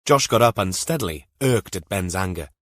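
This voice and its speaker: Low-pitched male audiobook narrator. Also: lively intonation, moderate pace, dry recording, studio quality. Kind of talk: scripted thriller narration.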